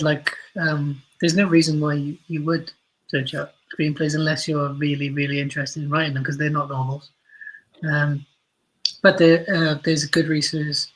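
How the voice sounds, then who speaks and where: A man's voice: fairly high pitch, a bit bright, poor audio. A man speaking as a podcast guest, explaining things informally and helpfully in stop-start phrases. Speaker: podcast guest, audio drama writer. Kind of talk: casual explanatory interview talk.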